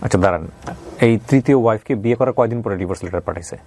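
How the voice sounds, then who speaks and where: Male interviewer, Bangladeshi accent, lowish pitch, rapid clipped questions and short acknowledgements. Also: darkish tone. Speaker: host, interviewer. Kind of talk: brief probing questions and listening acknowledgements.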